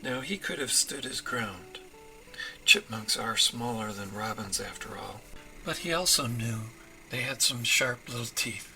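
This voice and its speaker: A man reading his children's story as narrator, in an expressive storytelling manner. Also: mid-range voice, unhurried, soft background music, poor audio. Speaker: author reading own storybook.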